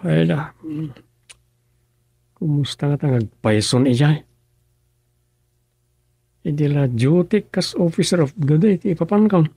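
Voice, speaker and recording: A man's voice: on the high side, creaky, warm tone, husky, no echo, heavily acted. An actor with an Ilocano accent playing an easygoing, busy rural councilman in a radio drama, talking conversationally with frequent interjections. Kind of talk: radio serial drama acting.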